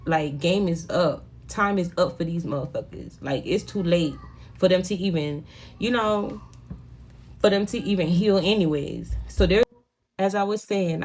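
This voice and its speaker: A woman's voice: lowish voice, darkish tone, a little hiss or hum. A young woman with a Haitian Creole accent, a native Creole speaker, giving a casual tarot reading in blunt, profane talk full of "you know what I'm saying". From Haitian home. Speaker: tarot reader.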